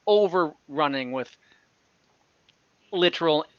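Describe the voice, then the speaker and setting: A man's voice: on the high side, takes it slowly, no echo. A man narrating as game master, describing a scene casually with many fillers and self-corrections. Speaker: dungeon master. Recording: slightly dull lo-fi online audio.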